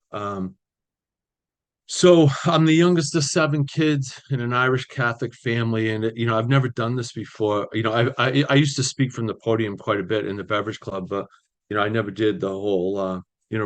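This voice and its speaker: A man, sharing his recovery story at a meeting over a video call, halting with many fillers. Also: fairly low pitch. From Boston area, US. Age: 60s. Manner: halting, many ums and you-knows, restarts. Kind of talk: personal recovery share.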